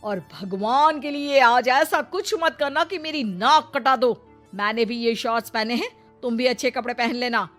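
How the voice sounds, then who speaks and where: A woman's voice: a little high, moderate pace, fairly bright tone, lightly acted. Actress as bossy middle-aged mother-in-law, scornful and modern, story-cartoon dialogue over music. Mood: bossy, annoyed. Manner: bossy, sprinkles English words.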